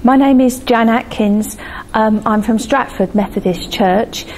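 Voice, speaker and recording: A woman's voice: on the high side, measured pace, a little mellow, a little hiss or hum, low-quality recording. A woman from a church congregation giving a statement for a video letter, hesitant, with frequent ums. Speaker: church member.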